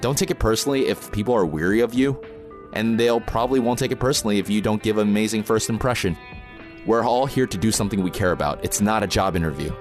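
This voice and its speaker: A man's voice: lowish voice, quick pace, soft background music, close to an everyday voice. A man playing an experienced, protective protest organizer in an audio drama, plain-spoken, informal and matter-of-fact. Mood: reassuring, brisk. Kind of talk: naturalistic audio drama acting.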